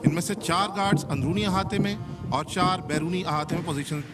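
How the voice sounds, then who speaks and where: A man's voice: high-pitched, brisk. A man with a Pakistani accent giving formal ceremonial commentary in a stirring, declamatory manner. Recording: music behind the voice. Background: music.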